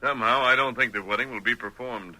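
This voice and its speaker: An actor playing a resolute, commanding masked cowboy hero in an old-time radio western, with measured, authoritative speech. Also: male voice, mid-range voice, bright, forceful tone, poor audio. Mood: calm, resolute. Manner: authoritative, measured, clearly worded. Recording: vintage radio drama recording.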